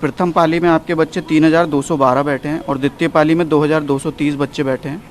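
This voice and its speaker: A man with an Indian accent, an official giving a formal, halting statement in a noisy location recording. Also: on the high side, fairly even intonation. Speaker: local official. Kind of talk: statement giving figures to the press. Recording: noisy on-location news clip.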